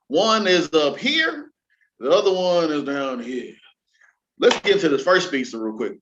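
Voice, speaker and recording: A man hosting a casual entertainment news show, talking in an animated, slangy way and addressing listeners like an announcer. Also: mid-range voice, slight background noise. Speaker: show host. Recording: dull lo-fi audio, music underneath.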